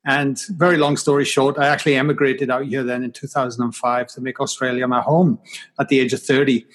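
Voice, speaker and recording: A man's voice: mid-range voice, little pitch movement, brisk, a bit bright. A man in his forties from Wales with a mild Welsh accent, a guest speaking reflectively in a casual interview. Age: 40s. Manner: reflective, self-deprecating, many restarts.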